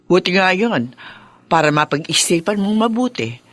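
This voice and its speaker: Casting: a sympathetic middle-aged Filipino aunt for radio drama, animated pitch, inquisitive, supportive. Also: female voice, moderate pace, no echo. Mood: concerned.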